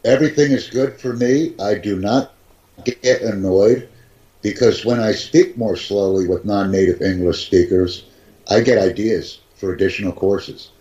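A man with a lowish, dark voice explains how to learn English, speaking slowly and enunciating each phrase with care. He repeats his main points like a patient teacher. The recording sounds dull and lo-fi. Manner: slow, carefully enunciated, repeats key phrases. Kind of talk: slow, deliberate teaching talk.

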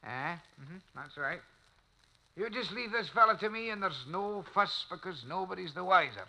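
This is a gruff janitor from an old radio play, shrewd and greedy, wheedling as he bargains. His voice sits high, with a hard, bright, cutting edge. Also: expressive, normal speed, full character voice, low-quality recording. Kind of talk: 1940s radio acting. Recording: old radio drama recording.

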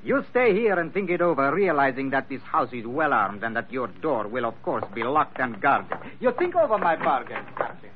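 A man's voice: medium pitch, sing-song, moderate pace, hardly any pauses, natural voice. An actor playing a formal, taunting villain who coolly bargains with his captive in an old radio adventure. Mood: coolly threatening. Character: smooth, menacing male villain, coolly bargaining captor. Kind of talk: 1940s-50s radio adventure drama acting. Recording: tinny old radio recording, faint noise.

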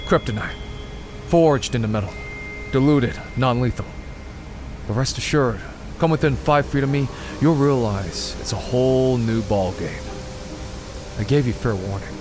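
A man playing a grim, vengeful masked vigilante in a superhero audio drama, speaking in a menacing, deliberate, clipped way. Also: mid-range voice, music in the background, close to an everyday voice. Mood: cold warning.

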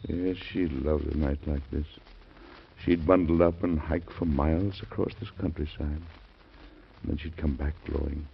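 A commanding old-time president. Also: male voice, a bass voice, fairly even intonation, moderate pace, gravelly, a little hiss or hum. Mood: tender, wistful. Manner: impassioned, commanding. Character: grieving forceful male U.S. president, middle-aged. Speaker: actor in a radio drama. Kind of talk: classic radio drama acting. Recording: dull vintage radio recording.

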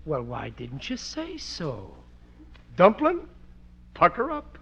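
An actor playing a bumbling, eager middle-aged working-class American husband in 1940s radio comedy, with a broad, hammy, folksy delivery. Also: male voice, on the high side, wildly swooping pitch, takes it slowly, muffled recording, full character voice. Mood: coaxing, smug. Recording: thin, faintly noisy vintage radio recording.

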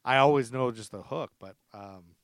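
A man with a mid-pitched voice hosts a podcast in a casual, comic storytelling style. He is chatty and amused and likes to repeat a fact for emphasis. The sound is dry and close. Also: moderate pace, no room sound. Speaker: podcast host.

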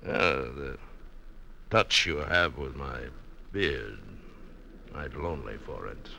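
An actor playing a boastful, amorous pirate captain in a classic radio drama, with archaic theatrical phrasing. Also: male voice, a bass voice, lively intonation, slow, vocal fry, sharp tone, slight background noise, heavily acted. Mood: wooing, boastful.

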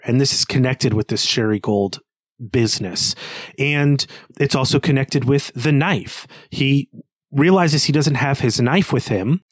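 A man with a mid-range voice discusses literature as a podcast co-host. He is analytical and works out his reading aloud in halting phrases. The recording is dry, without room echo. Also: medium pitch.

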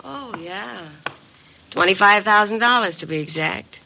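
A woman's voice: medium pitch, unhurried, gravelly, sharp tone, slight background noise, low-quality recording. An actress playing a tough, cool female blackmailer with slangy, brassy, insistent talk in an old radio drama. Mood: hard, threatening. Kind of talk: 1950s radio drama acting. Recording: vintage radio broadcast.

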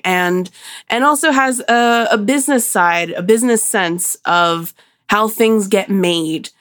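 A woman's voice: medium pitch, no room sound, studio quality. Chatty woman host, nasal from a cold. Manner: chatty, laughs often. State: slightly nasal from a summer cold. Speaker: podcast host. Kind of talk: casual podcast chat.

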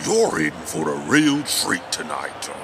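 An actor in an audio drama playing a pompous dog who fights and fancies himself a poet, speaking in grandiose, theatrical recitation with a gravelly voice. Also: male voice, sits low, wildly swooping pitch, slow pace, background noise, heavily acted. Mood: grandly announcing.

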